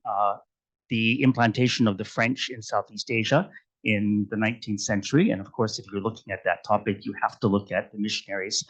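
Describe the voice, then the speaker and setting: A man speaking as a history professor on a panel, relaxed and chatty, with frequent hesitations and the odd throat clearing. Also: fairly low pitch, poor audio. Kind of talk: casual academic panel talk.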